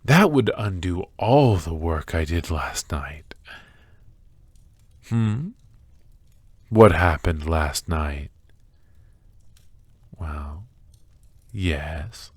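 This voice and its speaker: A man playing an obsessive, menacingly tender captor in an ASMR roleplay, speaking softly and intimately, often slipping into whispers. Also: extremely low pitch, no room sound, heavily acted. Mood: smug, patient. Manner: soft, intimate, drawn-out, often dropping to whispers.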